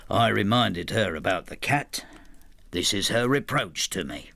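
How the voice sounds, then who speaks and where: An actor in a radio drama playing a plain-spoken working man in his sixties, telling his story in a measured, understated way. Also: on the low side, animated, gravelly, sharp tone, exaggerated voice. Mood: brooding, wry. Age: 60s. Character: retired working-class widower, plain-spoken, quietly bitter husband. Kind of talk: radio drama narration and dialogue.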